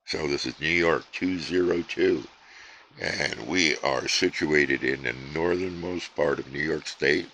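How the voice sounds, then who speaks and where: A man casually chatting to camera about his radio hobby, rambling and relaxed with frequent fillers and restarts. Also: very deep voice, a little slow, slight background noise, rough recording. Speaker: CB radio hobbyist and channel host. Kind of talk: casual unscripted vlog chat.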